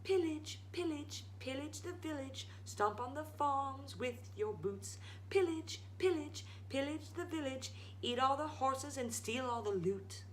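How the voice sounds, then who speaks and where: A woman's voice: sits high, animated, measured pace, slight background noise, voice pushed up, rough recording. A woman voicing a desperate, reluctant enchanted female harp in a storybook, in a sing-song, pleading manner. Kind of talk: storybook read-aloud.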